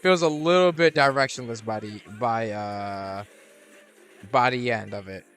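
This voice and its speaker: A man's voice: mid-range voice, measured pace, a bit bright, soft background music. A man hosting a gaming channel, rambling through casual gameplay commentary with lots of restarts and fillers. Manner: rambling, many restarts and fillers, laid-back.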